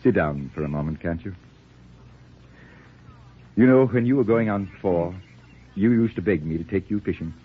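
A man's voice: low-pitched, expressive, normal speed, pauses often, slightly rough. An actor playing an urbane, whimsical middle-aged father with florid, drily philosophical phrasing, in a tinny 1940s radio drama. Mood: breezy. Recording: tinny, band-limited 1940s radio recording.

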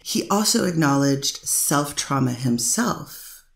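A woman's voice: low voice, lively intonation, slow pace, creaky. A woman attorney cross-examining a witness in a courtroom, pressing with leading questions. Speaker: defense attorney. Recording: echoey courtroom recording.

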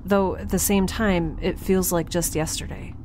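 A woman playing a nervous, wry young scientist who narrates a field log in a halting, conversational way for an audio drama. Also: fairly low pitch, fairly even intonation, warm tone, slight background noise, lightly acted. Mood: uneasy, observant. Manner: halting, self-interrupting, conversational.

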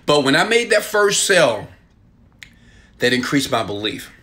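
A man in his thirties, filmed on a phone held at arm's length in a small room at home, giving a hyped and preachy sales pitch with loud bursts and quieter asides. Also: big pitch swings, measured pace. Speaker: online marketing coach. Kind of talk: selfie video sales pitch.

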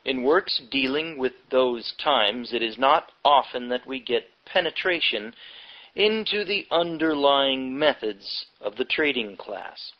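A man reading a nonfiction history book aloud from the text, with deliberate phrasing broken into short, halting chunks. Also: mid-range voice, lively intonation. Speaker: audiobook reader. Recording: dull, lo-fi audiobook recording.

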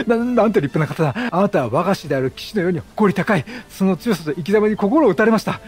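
Guy doing a formal young knight from a game, humble, high voice, music behind. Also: big pitch swings, fast talker. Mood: awed.

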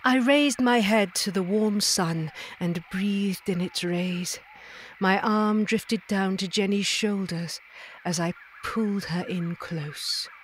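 A woman playing a tender, dignified non-human lady who narrates her memories in a measured, literary way in an audio drama. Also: medium pitch, slight background noise, natural voice. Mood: wistful, tender.

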